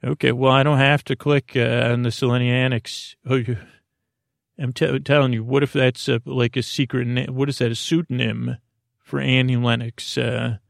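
A man hosting a bedtime podcast, rambling haltingly through whimsical, improvised asides and stumbling over his words in a creaky voice. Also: mid-range voice, flat delivery, dry recording, studio quality. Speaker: podcast host. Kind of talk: rambling improvised bedtime monologue to help listeners fall asleep.

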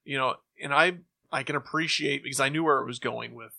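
A middle-aged man talks casually as a co-host. His voice is bright and crisp-edged, at an ordinary male pitch. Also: a little fast. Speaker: podcast co-host. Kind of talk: casual chat.

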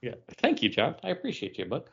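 A man's voice: mid-range voice, wildly swooping pitch, fast talker, husky, muffled recording. A man co-hosting a podcast in loose, casual chat. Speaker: podcast co-host.

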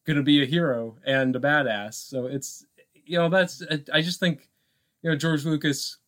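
A man co-hosting a podcast, talking casually about film in a halting way, thinking aloud with frequent asides. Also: a little high, fairly even intonation, fairly bright tone. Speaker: podcast co-host.

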